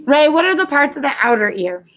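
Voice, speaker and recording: A woman's voice: high voice, animated, fairly quick, fairly bright tone. A woman teaching a class as a schoolteacher, explaining in simple phrases and prompting pupils with leading questions in an encouraging way.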